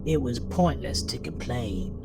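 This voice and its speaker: A man narrating a scripted horror story in a measured, dramatic storytelling manner. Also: mid-range voice, lively intonation, creaky. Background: music.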